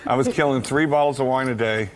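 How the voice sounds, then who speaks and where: A man's voice: medium pitch, fast talker. Brief: male poker player, grew up in Taiwan, rambling table chat, laughs easily, noisy card room. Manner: chatty, rambling, laughs often, repeats words.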